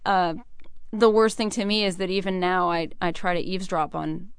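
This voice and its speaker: A young American woman speaks as an interview guest in a clean, smooth voice. She is earnest and articulate, thinking through her answers, and the sound is close and dry. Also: fairly low pitch, flat delivery, moderate pace, dry recording. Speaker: interview guest, military veteran.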